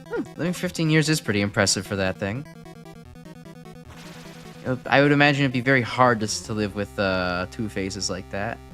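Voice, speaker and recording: A man's voice: lowish voice, normal speed, a bit bright, soft background music. A young man from Chicago with a Chicago accent, rambling and correcting himself while chatting on a game stream. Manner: rambling, self-correcting, chuckling. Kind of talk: casual game stream chat.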